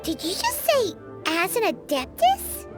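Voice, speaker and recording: A woman voicing a tiny, blunt and perky childlike fairy companion in a video game, with a squeaky, expressive delivery. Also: unhurried, full character voice. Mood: puzzled, curious. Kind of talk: video game cutscene dialogue. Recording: music under the voice. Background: background music.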